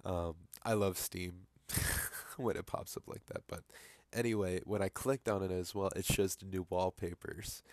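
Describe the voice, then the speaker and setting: Stuffy-nosed young man with a low voice. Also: no echo. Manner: rambling, many fillers and restarts. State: stuffy nose from a cold. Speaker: vlogger. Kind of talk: casual vlog commentary over screen capture.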